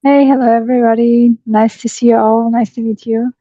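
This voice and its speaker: A woman's voice: fairly high pitch, flat delivery, fairly quick, mellow, dry recording, lo-fi sound. A woman from Germany, head of AI in education, introducing herself upbeat on a webinar. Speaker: head of AI in education, guest expert.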